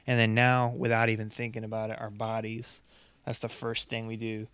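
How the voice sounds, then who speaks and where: A man's voice: fairly low pitch, completely flat delivery, normal speed, fairly bright tone, clear, resonant tone, dry recording. A man co-hosting a podcast, explaining his ideas earnestly in casual talk with frequent fillers. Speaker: podcast co-host.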